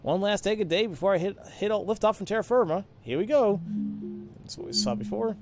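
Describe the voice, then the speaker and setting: A man's voice: high voice, lively intonation, fairly quick, faint music behind. A man giving casual let's play commentary, thinking aloud in a rambling, self-deprecating way and mumbling through text he reads. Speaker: let's player.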